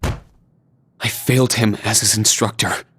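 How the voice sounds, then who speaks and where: An actor playing a conscientious, guilt-burdened young instructor, speaking in a subdued, serious way in video game dialogue. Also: male voice, fairly low pitch, steady tone, slow pace, a bit bright, a little hiss or hum. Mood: remorseful.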